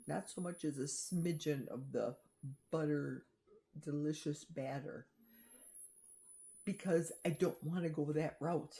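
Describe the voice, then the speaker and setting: A middle-aged woman talks slowly to her viewers in a chatty, confiding vlog. Her voice sits low and mellow, with an unhurried pace. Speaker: vlogger. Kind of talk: personal diet vlog. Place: outdoors in a forest.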